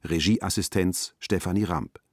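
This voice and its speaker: A man's voice: low voice, a little slow, no echo, clean studio sound. A man reading a formal scripted announcement as a broadcast credits announcer.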